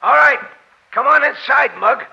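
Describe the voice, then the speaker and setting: Very high, gravelly gangster henchman. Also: normal speed, sharp tone, full character voice. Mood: gloating. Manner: coarse, blurting, slangy. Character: excitable, dim red-headed henchman gangster. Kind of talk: 1940s radio acting. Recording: noisy, dull old-time radio recording.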